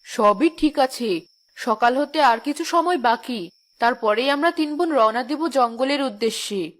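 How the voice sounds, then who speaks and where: A woman's voice: high voice, lively intonation, unhurried. A woman voicing an impatient, skeptical elder sister from a village in a cartoon. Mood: wondering. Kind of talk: cartoon voice acting.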